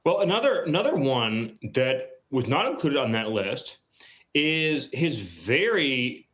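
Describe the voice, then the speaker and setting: A man's voice: medium pitch, big pitch swings, measured pace, a bit bright. Emphatic male political commentator. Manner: firm, emphatic, repeating key words. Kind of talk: political argument on TV.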